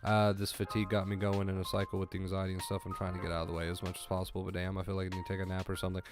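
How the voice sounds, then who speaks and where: A congested man with a somewhat low voice hosts a casual livestream, reading out chat messages and thanking viewers by name. He reassures his audience calmly, repeating key phrases and clearing his throat now and then. Music plays clearly beneath his voice. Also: rapid-fire. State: stuffy nose, clears throat often. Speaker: livestream host coaching on anxiety. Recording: music clearly audible behind.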